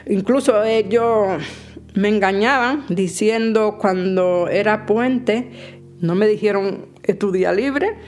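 A woman in her sixties with a Dominican Spanish accent, giving halting interview testimony full of restarts. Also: mid-range voice, darkish tone. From Dominican Republic. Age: 60s. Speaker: interviewee, domestic worker.

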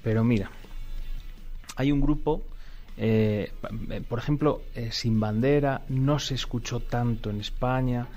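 A man from Spain with a Spanish accent, a band member chatting as a guest in a radio studio, enthusiastic and quick to sing a line. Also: medium pitch, a little mellow, soft background music. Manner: enthusiastic, breaks into singing lines. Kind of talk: radio interview chat.

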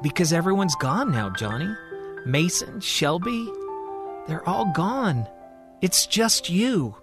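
A man acts out a caring woman doctor in an audio story. The voice is lifted in pitch, gentle and earnest, reassuring yet determined, with music underneath. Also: big pitch swings, slow pace, heavily acted.

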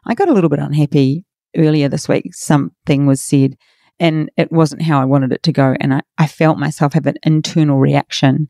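A middle-aged woman hosts a motivational podcast, her voice raspy and hoarse. She talks in an earnest, encouraging way, her tone mellow and warm. The recording is dry and close, with no room sound. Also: low-pitched, fairly even intonation, moderate pace, no room sound. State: hoarse from a workshop. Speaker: podcast host and coach.

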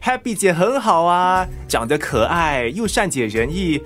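A man's voice: a little high, measured pace. A young man with a Singaporean accent acting in a radio drama as an eager, nervous son and sidekick who stammers under pressure. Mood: admiring. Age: young adult. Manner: excitable, stammering when put on the spot. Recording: music behind the voice.

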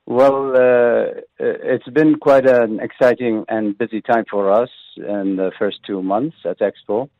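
A man's voice: mid-range voice, fairly even intonation, slow, no room sound. A man speaking as a guest in a radio interview, hesitant, full of "uh" and restarts. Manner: hesitant, many fillers and restarts. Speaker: pavilion representative guest. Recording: tinny phone line, dry.

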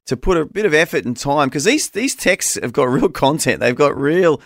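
A man's voice: fairly high pitch, animated, moderate pace, vocal fry, a bit bright, dry recording. Australian man hosting a radio interview, dry sound. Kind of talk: scripted introduction and conversational radio interview.

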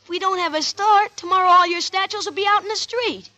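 An earnest young boy helping in a shop on 1940s radio. The voice is very high and bright, eager and practical in delivery. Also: quick pace, exaggerated voice. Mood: eager, protesting. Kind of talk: 1940s radio comedy acting. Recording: 1940s radio broadcast recording.

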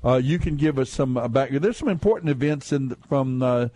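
A man's voice: medium pitch, lively intonation, brisk, mellow, no echo. Male call-in radio host, folksy and rambling, dry close mic. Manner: rambling, folksy, restarts and fillers, laughs easily. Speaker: radio quiz show host. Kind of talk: casual call-in radio talk.

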